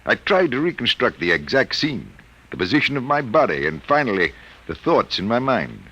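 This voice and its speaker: A man's voice: medium pitch, big pitch swings, moderate pace, bright, forceful tone, poor audio. An American actor playing a mild, middle-aged college professor troubled by his own power, speaking formally and hesitantly in 1950s radio drama style. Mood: intent. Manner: formal, precise, hesitant wording.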